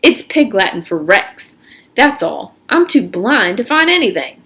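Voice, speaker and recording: A woman reader's take on a teenage boy who runs his gang in a storybook. He talks in a persuasive, informal way, angling for his own gain. The voice swings around in pitch with plenty of animation. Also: sing-song, a little slow, fairly bright tone. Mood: coaxing, confiding. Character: teenage boy gang leader, coaxing and self-serving.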